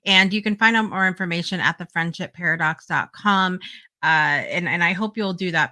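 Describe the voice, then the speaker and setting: A woman's voice: mid-range voice, pushed and bright, dry recording. A woman hosting a live talk show, speaking in an earnest, encouraging way, with fillers and repeated phrases.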